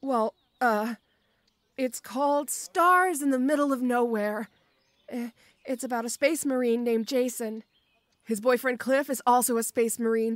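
Audio roleplay: woman as a strong but gentle orc schoolgirl, high voice, stammering and shy, very dry recording. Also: no echo, natural voice. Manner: hesitant, stammering, bashful.

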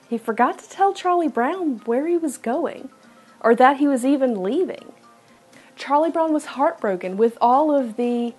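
A woman in her thirties speaks straight to camera from a room at home, earnest and a little preachy as she gives a devotional, with playful asides. Her voice sits fairly high for a woman. Soft music plays faintly underneath. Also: breathy edge. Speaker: devotional video host. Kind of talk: casual devotional talk to camera.

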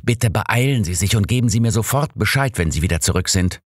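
A man's voice: fairly low pitch, expressive, brisk, breathy edge, no echo, voice pushed up. A man voicing an imperious old master detective in an audiobook, commanding, dry and impatient. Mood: brisk, insistent.

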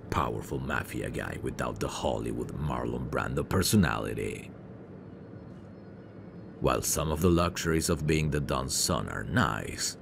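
Man playing very deep, gravelly suave mafia heir. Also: noisy background, exaggerated voice. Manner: smooth-talking, courtly, flirtatious. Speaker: voice actor. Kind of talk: ASMR roleplay, intimate one-sided dialogue.

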